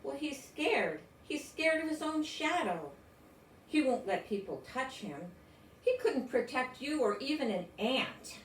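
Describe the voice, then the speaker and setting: A woman's voice: sits high, very animated, measured pace, voice pushed up. A woman reading a storybook, playing a grumbling, dismissive old man who complains in an emphatic, folksy way. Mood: scornful. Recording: roomy, distant mic, faint noise.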